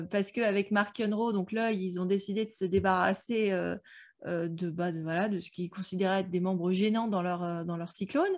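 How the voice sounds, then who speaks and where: A woman co-hosting a book club podcast in casual, slangy, jokey discussion, telling stories in an animated way. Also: medium pitch. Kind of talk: casual discussion of an audio drama.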